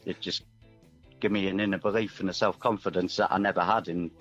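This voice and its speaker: A man's voice: low-pitched, fairly even intonation, a little fast, fairly bright tone. A man talking as a musician guest in a casual interview, earnest, reflective and a little hesitant. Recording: dull band-limited line, music underneath.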